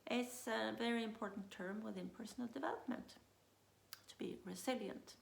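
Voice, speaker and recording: A woman coach with a Swedish accent, speaking English as her second language, talking to camera in a relaxed, unhurried way with many pauses and restarts. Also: fairly high pitch, creaky, echoey room. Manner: unhurried, thinks aloud with many uh fillers and restarts. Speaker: self-leadership coach. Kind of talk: casual talk to camera.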